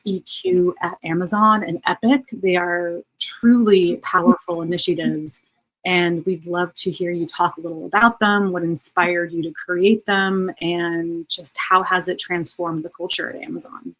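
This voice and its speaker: Woman, podcast host, lowish voice, dry close sound, attentive questions. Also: fairly even intonation, unhurried, fairly bright tone, dry recording, low-quality recording. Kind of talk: interview questions.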